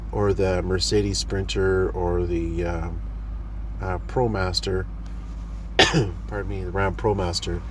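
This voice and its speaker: Low-voiced man with a cold, rambling. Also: a little mellow, slight background noise. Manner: rambling, with many ums and uhs. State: stuffy with a cold. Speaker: vlogger. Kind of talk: casual talk to camera.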